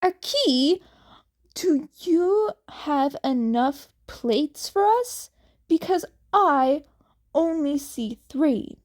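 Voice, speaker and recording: A woman's voice: high voice, lively intonation, drawn-out words, darkish tone, exaggerated voice. A woman voicing a pleading, nosy young boy, a pouty little brother in a fan fiction story. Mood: inquisitive.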